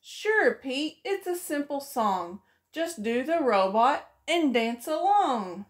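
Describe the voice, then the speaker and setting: A woman's voice: high-pitched, slow pace. Sing-song woman reading a picture book. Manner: sing-song storybook reading with lively voiced characters. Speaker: preschool teacher. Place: small room indoors.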